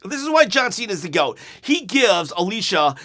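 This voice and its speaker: A man hosting a wrestling channel, giving an unedited review right after a show, excitable and rambling, his voice going hoarse. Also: high voice, moderate pace. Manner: excitable, rambling, repeats himself.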